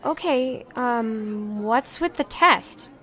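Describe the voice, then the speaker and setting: A young woman new to the world, played by an actress in an audio drama. She is on the higher side in pitch, polite and inquisitive. Her lines come with naive hesitancy. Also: animated, very slow, fairly bright tone, full character voice. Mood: curious, uncertain. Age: young adult.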